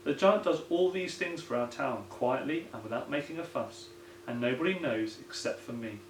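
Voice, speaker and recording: A man's voice: medium pitch, moderate pace, natural voice. A man voicing a kindly old grandad who earnestly tells tall tales in a children's picture book. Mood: knowing. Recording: roomy echo, some background noise.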